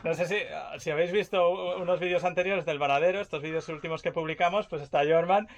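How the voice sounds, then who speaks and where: A man's voice: high voice, steady tone, fast talker. A man from Spain with a Spanish accent, hosting a casual interview, agreeing often and chiming in. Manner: agreeable, frequent backchannel, laughs along. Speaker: host.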